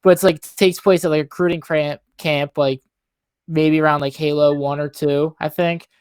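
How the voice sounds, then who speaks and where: A man's voice: a little high, flat delivery, normal speed, a little mellow, clear, resonant tone, no room sound, studio quality. A young man co-hosting a podcast in casual chat, explaining things in an informal, rambling way. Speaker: podcast co-host. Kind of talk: casual pop-culture chat.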